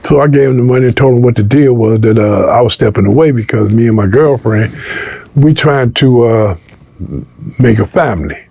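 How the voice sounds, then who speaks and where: A man's voice: mid-range voice, moderate pace, vocal fry, breathy edge, no room sound. A man telling a story casually in an interview, slangy and streetwise, acting out the dialogue as he goes. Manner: animated retelling, acting out quoted dialogue.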